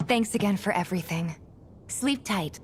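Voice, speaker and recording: An actress playing a friendly, caring young woman in a video game, speaking gently and conversationally with slight hesitations. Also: medium pitch, normal speed, noisy background, lightly acted. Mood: apologetic, encouraging. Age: young adult. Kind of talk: video game cutscene voice acting. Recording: background music under dialogue. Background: game music.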